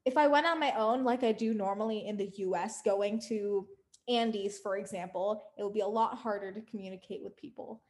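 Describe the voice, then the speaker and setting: A woman's voice: medium pitch, fairly quick. A young American woman co-hosting a podcast, talking in an earnest, emphatic way and building up her points. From United States. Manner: earnest, emphatic, lists points with rising force. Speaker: podcast co-host. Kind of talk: casual podcast discussion.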